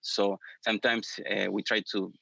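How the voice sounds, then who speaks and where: Argentine-accented male meteorologist, Spanish native, low and bright, halting, dull dry audio. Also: flat delivery, gravelly, no room sound. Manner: hesitant, many pauses and fillers. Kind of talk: panel talk.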